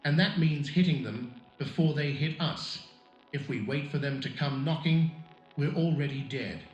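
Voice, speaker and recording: Man as blunt sergeant, highish voice, echoey. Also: normal speed, lo-fi sound, soft background music, natural voice. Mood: grim, blunt. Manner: blunt, grim. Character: gritty, blunt battle-hardened first sergeant. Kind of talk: scripted radio drama interview.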